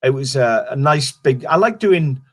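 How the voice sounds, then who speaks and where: A man's voice: mid-range voice, lively intonation, normal speed. A middle-aged Welsh comedian chatting casually in an interview, rambling and restarting his sentences. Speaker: stand-up comedian guest.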